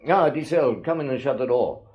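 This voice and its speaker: An actor playing a calm, probing police inspector in a radio drama. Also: male voice, mid-range voice, a little fast, dull audio, noisy background, close to an everyday voice. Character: patient middle-aged police inspector.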